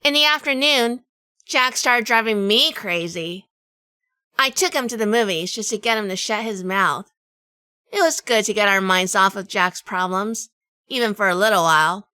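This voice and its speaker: A woman's voice: medium pitch, animated, moderate pace, pushed and bright, smooth, dry recording. A woman playing a wry, world-weary detective in a noir audio drama, with a dry, sardonic delivery. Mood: wry, narrating. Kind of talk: hardboiled noir radio drama narration and dialogue.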